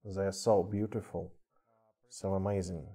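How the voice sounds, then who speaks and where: A man with a Russian accent casually chatting on a live stream in halting English, with many fillers. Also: sits low, little pitch movement, warm tone, breathy edge. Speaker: streamer showing toy car collection. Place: indoor room with cluttered table. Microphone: webcam mic.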